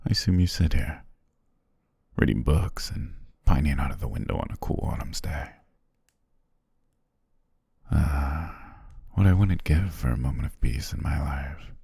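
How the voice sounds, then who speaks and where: A man playing a courteous, self-amused demon king in an ASMR roleplay, speaking in a genteel, formal way with a husky, breathy voice. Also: no echo, clean studio sound, heavily acted. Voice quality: very deep, husky, gravelly, often breathy near whisper. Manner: leisurely, genteel, archly formal with soft chuckles.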